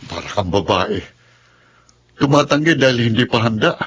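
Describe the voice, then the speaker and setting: An actor playing an aging, insistent father in a Tagalog radio drama, with an expressive, pressing delivery. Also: medium pitch, moderate pace, a little hiss or hum, lightly acted. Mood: insistent, fatherly. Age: older.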